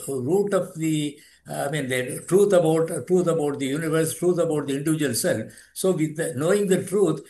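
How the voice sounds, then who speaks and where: An older man from South India with a Tamil accent, a yoga teacher and author explaining philosophy in a measured way, often pausing to search for words. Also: on the high side, a little mellow. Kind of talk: interview explanation.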